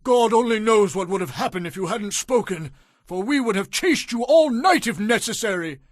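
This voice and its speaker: A man's voice: sits high, lively intonation, moderate pace, dry recording, studio quality. A man playing a bold, earnest ancient warrior captain in an audio Bible drama, speaking emphatically. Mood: grave, emphatic.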